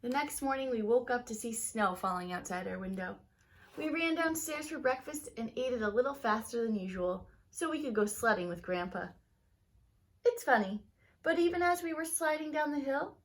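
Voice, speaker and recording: A woman reading a picture book aloud for children in an expressive storytelling manner, with short explanatory asides to young listeners. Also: medium pitch.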